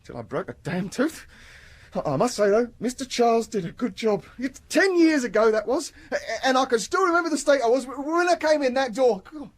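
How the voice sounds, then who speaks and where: An actor playing a nervous, boastful British man who dreads the dentist, husky and halting, in a radio drama. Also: extremely high pitch, very animated, full character voice. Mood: nervy, confiding. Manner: jittery, insistent, halting, blustering. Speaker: patient.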